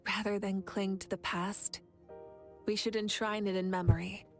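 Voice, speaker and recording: A woman plays a resolute warrior queen in an anime game. Her medium-pitched voice is calm and assured, with faint music in the background. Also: moderate pace, natural voice. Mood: calm, reflective. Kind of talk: anime video game voice acting.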